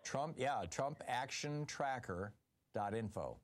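A man's voice: mid-range voice, expressive, slow. An American man speaking as the host of a radio call-in show. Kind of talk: live call-in talk and host-read ad. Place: radio studio.

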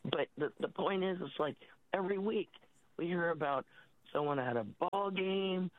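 Husky woman on a tinny phone line. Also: fairly low pitch, dry recording. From California. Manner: rambling, halting, with many restarts. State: husky from a cold. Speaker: caller. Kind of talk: phone-in call.

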